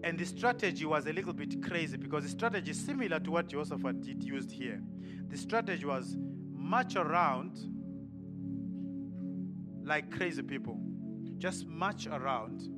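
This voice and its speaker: A man preaching a sermon with lively energy, laughing and firing questions at the congregation. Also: fairly high pitch, normal speed, pauses often, over loud music.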